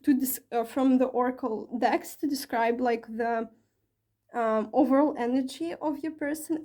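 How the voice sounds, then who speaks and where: A woman reads tarot for her viewers in a loose, chatty way, often pausing on fillers and correcting herself. Her voice sits a bit high yet sounds soft and mellow, with a slight strain from talking a long while. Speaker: tarot reader. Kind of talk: casual tarot reading to camera.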